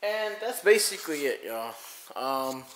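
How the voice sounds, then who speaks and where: A man's voice: on the high side, unhurried. Rambling vlogger man in echoey room. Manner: rambling, relaxed, lots of fillers. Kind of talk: casual home vlog. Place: home bedroom and living room, indoors. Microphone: handheld phone camera at arm's length. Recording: very echoey bare room, faint noise.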